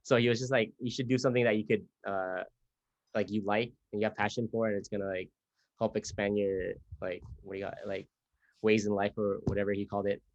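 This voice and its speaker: A man from Thailand, speaking as a guest musician, tells his stories in a relaxed way. His voice comes through dry and dull, like lo-fi call audio. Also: fairly low pitch, brisk, no echo. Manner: relaxed storytelling. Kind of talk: casual interview answers.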